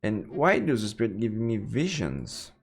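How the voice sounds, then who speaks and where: A man doing live let's play commentary and reading game text aloud, halting and repeating words as he goes. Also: on the low side, big pitch swings, creaky, husky. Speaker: game streamer. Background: faint game music.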